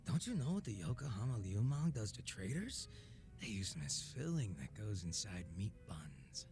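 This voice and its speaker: A man's voice: fairly low pitch, sing-song, moderate pace. A man playing a laid-back, sardonic former gang boss in a video game cutscene, talking casually with teasing and profanity. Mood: sly, mocking. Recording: music under the dialogue.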